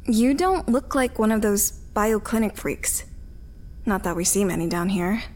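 A woman's voice: mid-range voice, expressive, measured pace, darkish tone, close to an everyday voice. An actress playing a bitter, guarded working mother in a sci-fi audio drama, blunt, sardonic and suspicious. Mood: sceptical, sardonic. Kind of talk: audio fiction acting.

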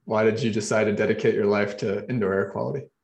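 A man hosting a podcast interview, relaxed and joking, with short affirmations. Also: on the low side, quick pace, vocal fry. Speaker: podcast host. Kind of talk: casual interview chat. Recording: roomy echo.

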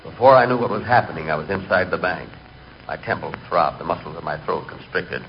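A man's voice: low voice, fairly quick. A man acting a timid, neurotic and comically vain storyteller in 1940s radio comedy. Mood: deadpan, confiding. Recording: muffled, hissy 1940s radio recording.

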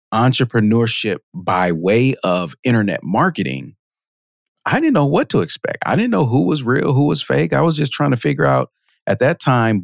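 A man guesting on a podcast, casually telling stories about his career, laughing often and jumping up in pitch for quoted reactions. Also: creaky, dry recording, studio quality. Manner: lively storyteller, jumps high for quoted reactions, laughs often. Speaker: podcast guest, marketing automation expert. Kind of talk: casual interview storytelling.

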